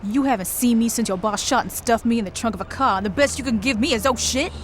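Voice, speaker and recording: A woman's voice: a little high, quick pace, natural voice. A woman playing a cold, blunt gang leader. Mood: commanding. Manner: blunt, threatening. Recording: game audio with background noise.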